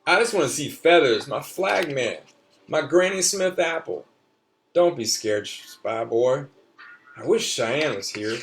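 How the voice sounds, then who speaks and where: A man voicing an excited little boy at Mardi Gras, eager and wide-eyed, hollering in a singsong way. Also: on the high side, measured pace, distant mic, a little hiss or hum. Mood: wondering.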